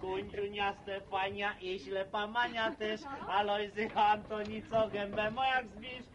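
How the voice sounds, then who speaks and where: An actor playing a cocky, joking, work-shy village accordion player in a radio drama, with slangy talk. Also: male voice, high-pitched, little pitch movement, unhurried, a bit bright, distant mic, a little hiss or hum, rough recording. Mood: boisterous. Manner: jokey, slangy, breaks into street song. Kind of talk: Polish radio drama acting.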